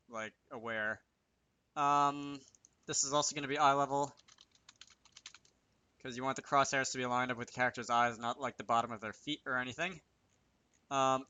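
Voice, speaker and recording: A man's voice: medium pitch, moderate pace, long pauses, pushed and bright. A man giving casual, unscripted commentary over a screen recording, hesitant and thinking aloud as he works. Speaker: game developer hosting a tutorial series.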